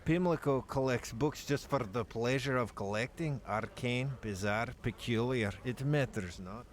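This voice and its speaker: A man voicing a gruff, bloodthirsty male dwarf warrior in a put-on Scottish accent, telling tales in an archaic brogue for a game. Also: medium pitch, lightly acted. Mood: boastful. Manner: slow, boastful storytelling. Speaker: player reading the dialogue.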